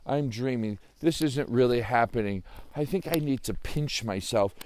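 A man voicing an excitable, disbelieving young boy in a children's story, stammering and exclaiming. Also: mid-range voice, moderate pace, slight background noise, close to an everyday voice. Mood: bewildered. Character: astonished, excitable young boy who discovers a talking bird.

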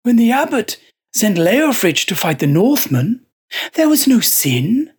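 Picture a scrawny, unhinged monk in a dark storybook, his voice pitched high and straining with fevered conviction, as the reader puts him on. He talks in slow, dragged-out phrases, as if savoring each word, and tips over into shrill shrieks. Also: sing-song, breathy edge, full character voice. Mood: zealous. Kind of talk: storybook horror reading.

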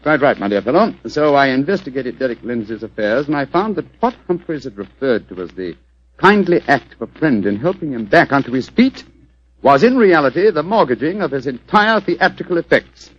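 An actor playing a shrewd, urbane British gentleman detective in 1940s radio drama style, with precise theatrical phrasing. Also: medium pitch, very animated, brisk. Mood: brisk, explanatory. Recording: dull lo-fi vintage radio recording.

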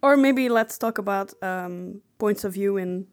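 A woman's voice: medium pitch, unhurried. A woman co-hosting a casual podcast, talking thoughtfully with some hesitant phrasing. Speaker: podcast co-host.

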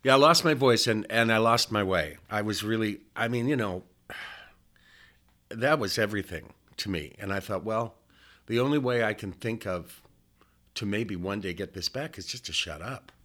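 Rambling, lower-voiced older man reminiscing. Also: normal speed, a bit bright. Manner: rambling, digressive storyteller. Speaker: interview guest, musician. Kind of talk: casual reminiscing interview.

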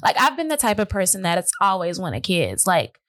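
A woman's voice: lowish voice, sing-song, fairly quick, fairly bright tone, dry recording, studio quality. A woman hosting a podcast, talking casually in a rambling way full of restarts. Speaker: podcast host.

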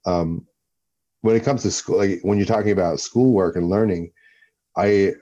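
Deep-voiced man musing in an interview. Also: moderate pace, dark tone, highs cut off. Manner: rambling, repeats himself while thinking. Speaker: guest, actor and former fighter. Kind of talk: relaxed interview talk.

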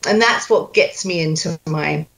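A woman's voice: sits low, unhurried, gravelly, fairly bright tone. A woman working as a dialect coach, explaining her method step by step in a cheerful tone over a video call. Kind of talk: coaching session.

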